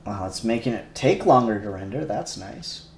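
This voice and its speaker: A man chatting casually on a livestream, muttering software settings aloud and chuckling as he works. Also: on the low side, lively intonation, moderate pace, reverberant, a little hiss or hum. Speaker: streamer doing 3D render work.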